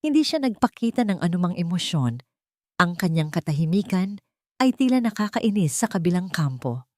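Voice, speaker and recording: A Filipino woman narrates a dramatic story from a script. Her voice sits on the low side and moves in lively, animated swings of pitch. The sound is dry and close, with little room. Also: moderate pace, no room sound.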